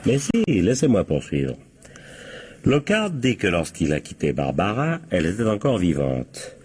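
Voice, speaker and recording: Sly police captain in french radio whodunit, very low husky voice, ironic. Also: male voice, wildly swooping pitch, a little mellow, full character voice. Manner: deliberate, ironic, teasing rhetorical questions. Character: shrewd, theatrical male police captain, patiently cornering suspects. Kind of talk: French radio crime drama acting.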